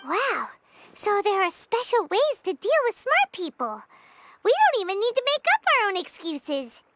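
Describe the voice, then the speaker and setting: A woman's voice: sing-song, measured pace, background noise, heavily acted. A woman voicing a tiny, chirpy female fairy companion who speaks bluntly and refers to herself in the third person. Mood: impressed. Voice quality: squeaky, bouncy.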